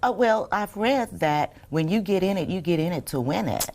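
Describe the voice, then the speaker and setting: Low-voiced, very animated woman host. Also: moderate pace, slight background noise. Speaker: podcast host, interviewer. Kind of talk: conversational interviewing.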